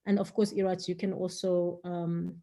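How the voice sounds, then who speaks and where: Mellow-voiced woman moderating online. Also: lowish voice, little pitch movement, normal speed, gravelly. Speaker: moderator of panel discussion. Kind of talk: informal online event moderation. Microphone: video call.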